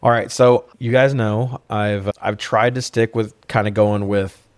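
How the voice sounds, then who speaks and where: Male podcast co-host, low voice, dry close sound, wry and rambling, loose film chat with swearing. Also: fairly even intonation, a little slow, smooth, no room sound. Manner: informal, wry, rambling asides. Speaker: podcast co-host reviewing films.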